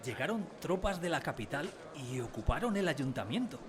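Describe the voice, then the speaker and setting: A man's voice: fairly high pitch, very animated, moderate pace, crowd noise. An actor narrating a radio drama as a man recalling his village childhood, wistfully, in a literary style. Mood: somber. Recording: music behind the voice.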